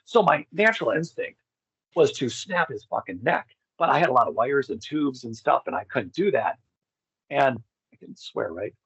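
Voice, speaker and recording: A man's voice: mid-range voice, expressive, moderate pace, a bit bright, slightly rough, no room sound, muffled recording. A middle-aged man telling personal stories in a candid, rambling, profane way as an interview guest. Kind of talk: casual personal storytelling.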